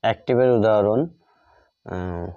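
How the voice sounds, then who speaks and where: A man's voice: fairly low pitch, unhurried, mellow, clean tone, dry recording. A man with a Bengali accent teaches grammar, repeating phrases and spelling words out as he writes examples. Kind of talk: classroom grammar lesson, writing out examples while explaining. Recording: dull lo-fi recording.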